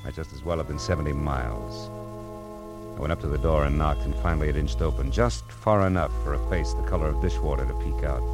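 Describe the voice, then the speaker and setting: A hard-boiled private eye with a low voice, clipped and wry. He sounds world-weary, in the manner of 1940s radio noir. Music plays behind him on an old radio recording. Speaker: narrator and lead actor.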